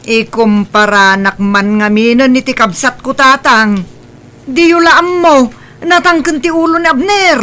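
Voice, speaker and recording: A woman's voice: sits high, a little slow, sharp tone. A woman voicing a harsh, strict middle-aged mother who speaks in a scolding, dismissive way.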